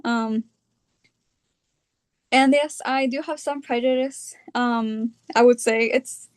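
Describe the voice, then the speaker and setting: A young woman from California with a California accent, talking about her own experience in a reflective, hesitant way, often pausing on fillers. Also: on the high side, steady tone, clean tone. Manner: hesitant, thinking aloud with fillers. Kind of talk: reflective personal talk.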